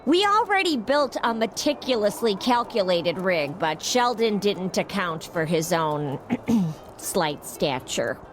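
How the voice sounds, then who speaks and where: A practical cartoon computer wife. She speaks dryly and precisely, with lively inflection over soft music. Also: medium pitch, slow pace. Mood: matter-of-fact. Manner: dry, precise. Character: prim dry female computer wife, practical. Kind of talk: cartoon game acting.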